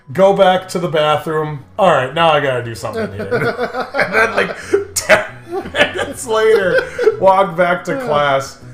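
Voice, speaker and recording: A young American man chatting casually as a game co-host, telling rambling stories with sarcastic, dark humour. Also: a little high. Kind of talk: casual joking chat, storytelling. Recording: roomy echo, faint music behind.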